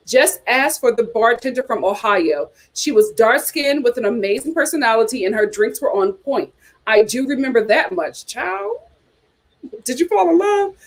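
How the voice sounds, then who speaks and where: An American woman hosting a casual chat show, reading aloud and commenting with playful, teasing asides. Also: fairly high pitch. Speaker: host of a reality TV chat show.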